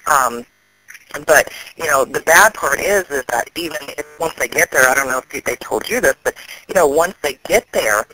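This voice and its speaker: A man, an activist, explaining things in a serious phone conversation, often halting and restarting. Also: a little high, expressive, sharp tone, slight background noise, rough recording.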